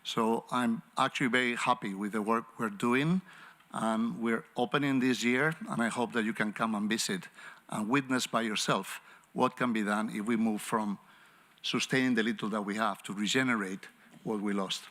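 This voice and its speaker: Measured man speaking on a panel. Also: lowish voice, fairly even intonation, fairly bright tone. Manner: measured, with pauses. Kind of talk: panel discussion answer. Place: conference room at a summit.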